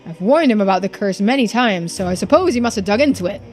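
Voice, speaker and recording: A woman's voice: medium pitch, animated, fast talker, a little mellow, close to an everyday voice. Domineering island businessman played by a woman, visual novel villain, scornful and commanding, music underneath. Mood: grave, resigned. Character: stern, domineering male island businessman and patriarch. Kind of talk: visual novel character voicing.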